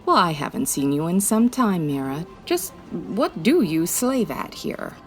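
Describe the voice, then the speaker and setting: A woman's voice: lowish voice, very animated, unhurried, faint music behind. A woman playing a classy, wry female private eye in a 1940s radio detective style, breezy and full of quips. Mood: friendly, teasing.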